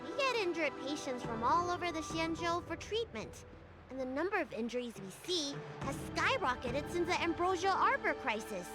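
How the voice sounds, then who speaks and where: Young girl healer, game role: high, animated, earnest and professional. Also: normal speed. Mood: thoughtful. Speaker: doctor. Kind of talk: English video game voice acting. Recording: game music behind the voice.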